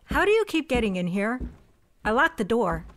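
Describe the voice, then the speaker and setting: A woman's voice: fairly high pitch, very animated, moderate pace, a little hiss or hum. A woman acting a stressed cousin who runs a failing shop, stern and exasperated. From United States. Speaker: shop owner character. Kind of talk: audio drama acting.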